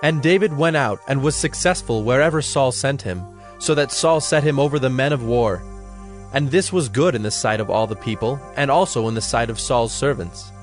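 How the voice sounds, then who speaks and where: Casting: male narrator, mid-pitched, measured and solemn, scripted Bible storytelling with faint music. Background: background music.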